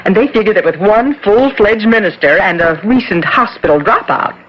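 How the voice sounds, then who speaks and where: An actress playing a friendly, playful young house guest, light, coy and chatty, in seventies radio drama style. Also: female voice, mid-range voice, expressive, moderate pace, a little hiss or hum, natural voice. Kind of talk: 1970s radio drama acting. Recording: muffled vintage radio recording.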